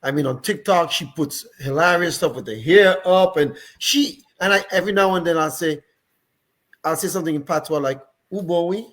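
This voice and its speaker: A man from Dominica with a Dominican accent, a guest on a radio panel, speaking in a lively, emphatic way. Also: on the high side, normal speed.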